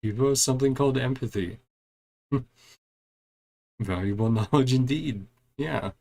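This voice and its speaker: A man's voice: mid-range voice, measured pace, gravelly, a little mellow. A man giving casual live commentary as he streams a game, muttering to himself and trailing off.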